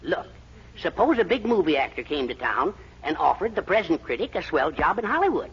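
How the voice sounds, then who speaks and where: An actor playing a fussy, henpecked middle-aged American husband and eager schemer, blustering and hesitant in 1940s radio comedy style. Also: male voice, fairly high pitch, a little fast, breathy edge, exaggerated voice. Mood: eager scheming. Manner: excitable, blustering, hesitant stammers. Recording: dull, noisy 1940s radio recording.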